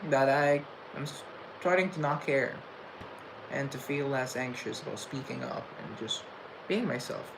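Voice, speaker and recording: A young man talks on a casual livestream in a mid-pitched voice, halting and restarting his words as he stutters through reflective chat. A clear hiss of background noise sits under his voice, picked up by a phone or webcam mic. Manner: reflective and chatty. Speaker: livestream host. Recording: noisy room tone.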